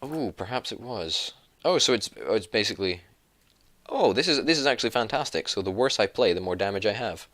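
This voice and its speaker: Deep-voiced Scottish man, bright and keen. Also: expressive, brisk, no room sound. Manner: enthusiastic, self-deprecating. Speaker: co-op gaming partner. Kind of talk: casual gaming chat.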